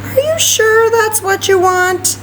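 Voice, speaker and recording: A woman's voice: very high voice, expressive, slow pace, a bit bright, slight background noise, voice pushed up, exaggerated voice. Woman as a patient, doubtful storybook mom. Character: patient, doubtful mother questioning her young daughter.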